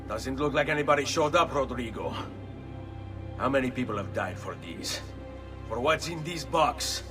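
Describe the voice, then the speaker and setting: An actor as a distant, defiant young assassin. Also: male voice, medium pitch, animated, normal speed, natural voice. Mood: taunting. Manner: taunting, confrontational. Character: defiant, vengeful young male Italian assassin. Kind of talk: video game cutscene acting. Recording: distant voice with music underneath.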